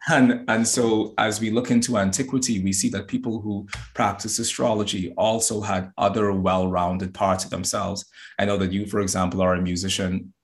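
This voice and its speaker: A man's voice: on the low side, little pitch movement, moderate pace. A man from the Bahamas, a guest astrologer and yoga teacher, talking earnestly in a casual interview and often restarting his words.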